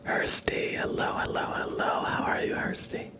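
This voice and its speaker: A man whispering gently as he hosts an ASMR live stream, chatting to viewers in a breathy voice and often repeating short phrases. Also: moderate pace. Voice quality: breathy whisper with creaky edges.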